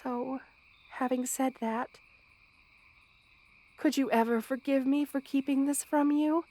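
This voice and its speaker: A woman playing a gentle, motherly, centuries-old spider queen in an ASMR roleplay, speaking in formal, measured, soothing phrases. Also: sits high, fairly even intonation. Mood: gently apologetic. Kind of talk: ASMR roleplay, intimate scripted monologue to listener.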